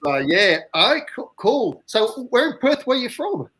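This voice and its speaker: A man from the UK interviewing a band, talking haltingly with lots of restarts and fillers. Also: very high-pitched, big pitch swings, bright, forceful tone, a little hiss or hum. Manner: halting, stammering, many restarts. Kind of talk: casual interview.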